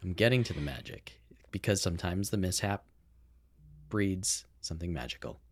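A man with a very low voice co-hosts a home podcast, his pitch swinging widely as he talks. He rambles through stories, restarting and correcting himself. He sounds recorded at home on a boom-arm mic. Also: lively intonation, moderate pace. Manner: rambling, self-correcting, chatty. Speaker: podcast producer and co-host. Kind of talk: casual podcast chat. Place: home recording setup in a house. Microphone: boom-arm microphone.

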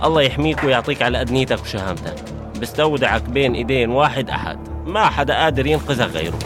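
A man's voice: medium pitch, animated, racing, lightly acted. A man playing an apologetic family man. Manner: apologetic, blessing, pleading. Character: apologetic male companion torn toward his family. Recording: music bed behind the voice. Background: music.

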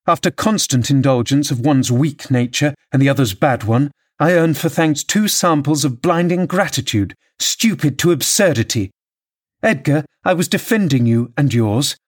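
A man's voice: mid-range voice, breathy edge, pitched above the performer's own voice. A man voicing an impassioned, scornful young married woman with an imperious, theatrical manner in an audiobook novel. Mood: scornful, defiant. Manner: theatrical, emphatic, exclamatory.

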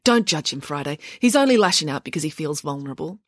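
A woman voicing a frank, languid, kindly teenage girl in a storybook, candid and unhurried. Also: low voice, lively intonation, dry recording. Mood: candid, mild.

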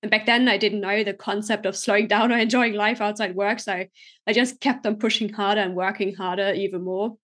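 A woman's voice: medium pitch, brisk. Upbeat German-accented woman hosting a podcast. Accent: German, with British English touches. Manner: upbeat, chatty, energetic. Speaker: podcast host and coach. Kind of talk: casual solo podcast storytelling.

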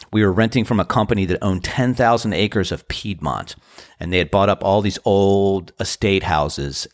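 A man in his fifties from the Washington DC area, hosting a podcast in a casual, earnest, rambling monologue, his voice deep and tired after a show. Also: dry recording, clean studio sound. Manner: earnest, rambling, grateful storytelling. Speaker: podcast host and band musician.

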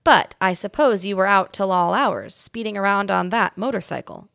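A woman's voice: mid-range voice, moderate pace, vocal fry, fairly bright tone, no echo, clean studio sound. A woman voicing a kindly old man who works as a hotel bellboy, talking in a folksy, easygoing way. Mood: friendly.

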